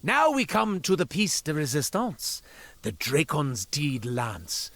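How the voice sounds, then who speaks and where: Theatrical, swooping, self-pitying merchant. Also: male voice, a little high, huge pitch swings, slow pace, fairly bright tone, soft background music, heavily acted. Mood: showy sales patter. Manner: wry, florid, melodramatic salesman patter. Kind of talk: scripted video game character monologue.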